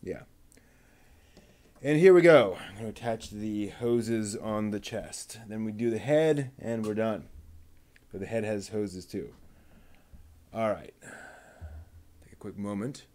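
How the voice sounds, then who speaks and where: Slow, mellow-voiced man muttering as he builds. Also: lowish voice. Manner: thinks aloud, mutters to himself, reads out part numbers. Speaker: show host. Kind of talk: casual running commentary while assembling a model kit.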